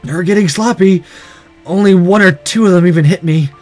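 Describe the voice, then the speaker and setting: A man's voice: high voice, normal speed, darkish tone, faint music behind, close to an everyday voice. Man as a defiant, cocky teenage boy. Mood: cocky, determined.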